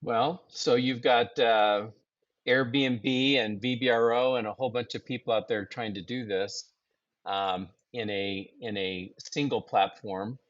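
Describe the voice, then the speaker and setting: A man hosting a business webinar, introducing his guest in a halting way with many fillers. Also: mid-range voice, fairly even intonation, no room sound. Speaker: webinar host, company founder. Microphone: video call. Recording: dry, dull web call audio.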